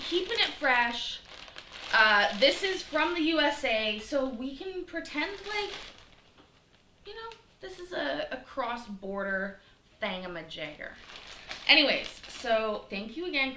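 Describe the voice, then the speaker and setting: A woman's voice: high-pitched, bright, forceful tone. A middle-aged woman hosting a casual cooking livestream from her home kitchen, chatting and rambling with lots of fillers as she talks to viewers by name. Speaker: livestream cooking show host. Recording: echoey kitchen, faint noise.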